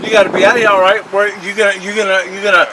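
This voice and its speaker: A worried man at a roadside meeting talks fast and stammers as he complains. His voice is high for a man. Loud wind and road noise roar behind him. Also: level tone. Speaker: resident. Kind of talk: outdoor community meeting. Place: outdoors by a roadside.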